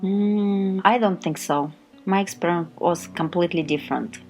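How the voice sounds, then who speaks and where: A woman's voice: lowish voice, normal speed, darkish tone, soft background music, poor audio. A Russian-speaking woman guesting on a podcast and talking cheerfully, with laughs. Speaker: guest interviewer.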